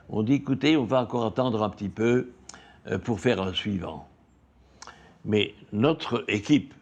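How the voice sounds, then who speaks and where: Older guy, slow raspy talker, lowish voice, interview, kinda dull sound. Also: darkish tone. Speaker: animation studio head recalling past work. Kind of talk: interview recollection.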